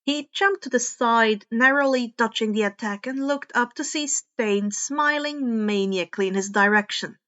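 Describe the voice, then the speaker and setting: Female story reader, higher-pitched voice, expressive narration, slightly dull lo-fi recording. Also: normal speed, hardly any pauses. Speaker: podfic reader. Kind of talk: expressive fan fiction audiobook narration.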